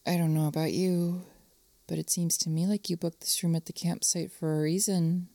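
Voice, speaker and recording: A woman playing an affectionate, flirtatious lover in an intimate adult audio roleplay, breathy and often dropping into whispers. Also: fairly low pitch, little pitch movement, dark tone, dry recording, close to an everyday voice. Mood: affectionate, playful. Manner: slow, lingering, tender delivery with pet names.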